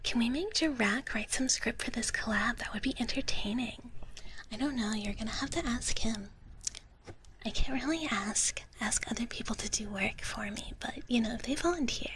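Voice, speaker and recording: A woman streaming live ASMR in a soft, breathy whisper close to the mic, chatting casually and playfully in a gentle dragon-girl persona. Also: a little high, normal speed, poor audio. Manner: playful, in character as a gentle wish dragon, often speaking of herself in third person. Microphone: close ASMR microphone. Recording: clearly audible background noise.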